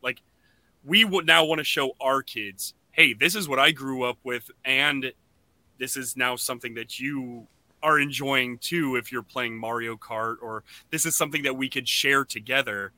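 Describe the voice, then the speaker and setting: A man hosting a podcast, chatting casually and enthusiastically in an animated way. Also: medium pitch, normal speed, no room sound, rough recording. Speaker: podcast host.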